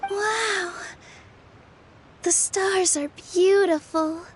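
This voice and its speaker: A woman playing a sweet, gentle, friendly fairy-tale forest youngster in a video game, with an encouraging manner. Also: extremely high pitch, drawn-out words, heavy background noise, exaggerated voice. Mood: soft awe. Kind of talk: video game character acting.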